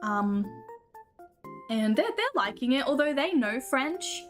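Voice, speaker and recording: A woman's voice: high-pitched, lively intonation, takes it slowly, faint music behind. A woman with an Australian accent chatting casually with her viewers while streaming a game, laughing often.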